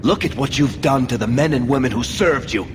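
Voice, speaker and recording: An actor voicing an indignant dwarven adventurer in a fantasy video game, impassioned and rhetorical. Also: male voice, mid-range voice, fairly quick, background noise, close to an everyday voice. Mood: hurt, accusing. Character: indignant dwarven younger brother, bold adventurer. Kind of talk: dramatic video game voice acting. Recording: long hall reverb, game music behind.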